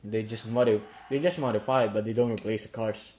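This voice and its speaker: A young man telling a personal story to the camera in a casual, halting way, with many restarts and fillers. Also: lowish voice, fairly even intonation, noisy background. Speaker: gaming YouTuber.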